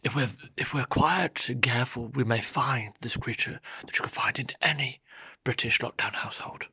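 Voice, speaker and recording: Guy doing a whispery nature doc narrator, really breathy and bright, super dry. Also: medium pitch, normal speed, dry recording, exaggerated voice. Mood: hushed. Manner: hushed whispering, reverent, mock-solemn. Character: hushed male wildlife documentary narrator. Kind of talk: parody nature documentary narration.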